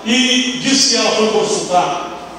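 An older Brazilian pastor teaches from the pulpit, his fairly high voice ringing through a large church hall. He is caught from far off with a long, booming reverb and faint hiss behind him. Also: sharp tone. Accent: Brazilian Portuguese. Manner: conversational preaching with tag questions and quick asides. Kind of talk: teaching sermon. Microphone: handheld mic, recorded from far camera.

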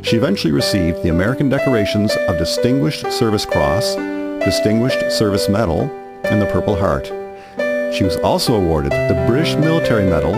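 A man reading scripted narration for a historical radio drama in a formal, measured manner. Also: fairly low pitch, sing-song. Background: guitar music.

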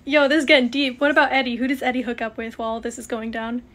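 A woman's voice: a little high, level tone, racing, a bit bright. A young woman reacting casually and playfully, with dramatic exclamations, in a home kitchen. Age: young adult. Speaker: content creator. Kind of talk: casual reacting to a character filter game.

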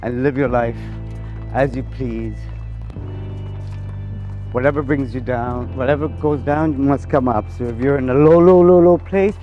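A man's voice: medium pitch, a little slow, long pauses, muffled recording, over music. A man, chatting playfully while walking through city streets for a casual interview, recorded outdoors on a camera mic. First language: Spanish. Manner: playful, chatty, laughs often.

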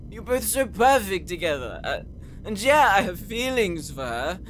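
A man's voice: sits high, very animated, normal speed, fairly bright tone, slight background noise. A man playing an insecure, earnest young man in an audio roleplay, whiny and easily flustered. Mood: emotional. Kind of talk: dramatic audio roleplay acting.

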